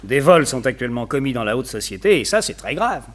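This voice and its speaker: An actor playing a courteous, effusive city mayor with a hearty, slightly pompous manner in a vintage radio drama. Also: male voice, medium pitch, sing-song, fast. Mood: grave, worried. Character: jovial, flattering male city mayor, courteous yet worried.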